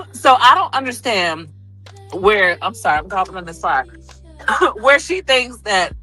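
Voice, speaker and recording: A woman's voice: medium pitch, very animated, a little slow, bright, forceful tone, faint music behind, low-quality recording. A woman guest on a livestream, chatting casually and sassily from a truck stop while on the road for work. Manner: sassy, calls people girl and honey. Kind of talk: casual chat.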